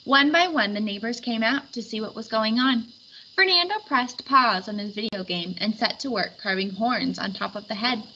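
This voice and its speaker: A woman reading a children's picture book aloud with expressive storytelling. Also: fairly high pitch, moderate pace, muffled recording, a little hiss or hum. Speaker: storybook reader.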